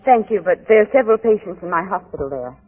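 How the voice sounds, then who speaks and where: Quick, poised frontier woman. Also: sits high, expressive, loud music behind the voice. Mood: polite. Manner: arch, bantering. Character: poised, teasing young Canadian frontier hospital woman. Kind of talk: 1940s radio acting. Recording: dull, lo-fi 1940s radio recording.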